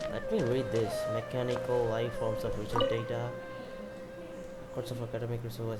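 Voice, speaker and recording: A man's voice: mid-range voice, rough recording. A man giving offhand, casual gameplay commentary with game music behind him. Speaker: gamer commenting on playthrough.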